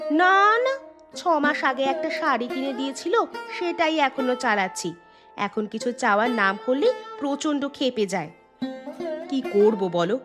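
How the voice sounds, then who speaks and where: A village housewife and mother from a cartoon, acted by a woman. Her voice is fairly high, brisk and expressive, with a practical, nagging edge. Music sits underneath. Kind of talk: cartoon dialogue acting.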